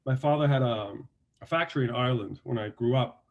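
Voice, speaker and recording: A man's voice: medium pitch, fairly quick, narrow, tinny audio. Danish man chatting about acting. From Denmark. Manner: relaxed storytelling with many restarts and fillers. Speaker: actor and guest. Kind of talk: casual interview talk.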